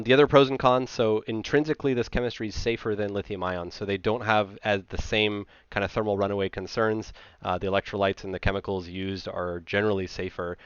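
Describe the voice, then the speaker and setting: A man in his thirties with a low-pitched voice explains technical material as a presenter. His delivery is informal and conversational. The recording is dry and close, with slightly dull, lo-fi audio. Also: clear, resonant tone, no room sound.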